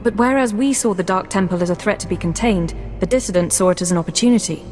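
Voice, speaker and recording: A woman's voice: medium pitch, fast talker, darkish tone, lightly acted. Casting: woman to play earnest game analyst, medium register, careful and composed, faint music behind. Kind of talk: video game cutscene acting. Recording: reverberant hall, faint music underneath.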